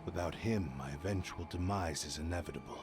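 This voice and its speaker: A man voicing an ancient, proud and repentant sword spirit in a game, speaking formally and gravely. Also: sits low, a little fast, close to an everyday voice. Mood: grave, weary. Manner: formal, grave, archaic phrasing. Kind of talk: dramatic game voice acting.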